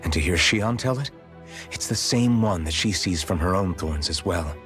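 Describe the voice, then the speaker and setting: A lowish voice carries this earnest young fantasy hero. He is serious, plain-spoken and resolute, as in a video game cutscene, with soft music underneath. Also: animated, normal speed. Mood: serious, thoughtful.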